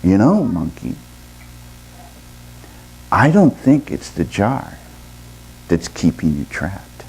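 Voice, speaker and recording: A performer voicing a wise male owl in a storytelling session, calm, measured and reasonable, gently giving advice. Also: low voice, husky, heavily acted, rough recording. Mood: calm, patient.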